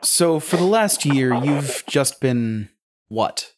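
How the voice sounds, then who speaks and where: An actor playing a cool, probing interrogator in an audio drama, speaking in a dry, deliberate, mocking way. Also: male voice, mid-range voice, lively intonation. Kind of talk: audio drama acting, naturalistic.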